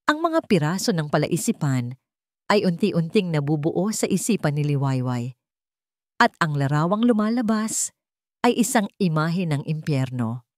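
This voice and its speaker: A woman's voice: low-pitched, very animated, moderate pace, no echo, clean studio sound. A woman storyteller reading a dramatic scripted narration in a suspenseful, emotive manner.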